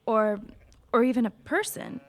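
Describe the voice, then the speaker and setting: A woman playing a sharp, skeptical American high school girl in a fantasy audio drama, with a questioning, brainy manner. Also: animated, normal speed, natural voice. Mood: skeptical, probing.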